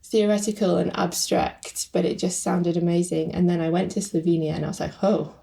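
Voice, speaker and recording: A woman's voice: fairly low pitch, flat delivery, fairly quick, gravelly, mellow. A woman speaking reflectively with fillers as a guest translator in a podcast conversation.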